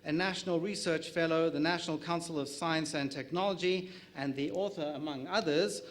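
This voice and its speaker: A man's voice: a little high, steady tone, a little fast, slight background noise. A middle-aged man from South Africa with a South African accent, moderating a conference panel, reading introductions with small slips and light jokes.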